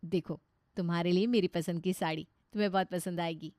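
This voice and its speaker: A woman's voice: on the low side, steady tone, fairly quick, a little mellow, no room sound, studio quality, natural voice. A woman voicing a kind, sensible elder sister-in-law in a moral story, coaxing and giving advice. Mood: affectionate. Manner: coaxing, advising. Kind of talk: dramatised Hindi moral story.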